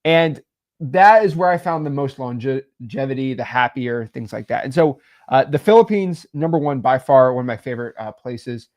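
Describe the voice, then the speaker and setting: A man hosting a casual business chat, rambling and animated with lots of fillers. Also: mid-range voice, normal speed. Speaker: real estate podcast host.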